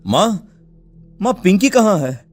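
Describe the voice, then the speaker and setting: Man acting a high-voiced, anguished village father. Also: very animated, slow pace, a little mellow, faint music behind, exaggerated voice. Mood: worried. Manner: anguished, pleading. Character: distraught village father, anxious and tearful.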